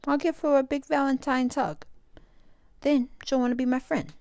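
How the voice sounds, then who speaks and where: Woman voicing an excitable little boy shark, high-pitched. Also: normal speed, dry recording. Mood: eager, pleading. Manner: excitable, exclaiming, earnest. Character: eager, friendly young male lemon shark.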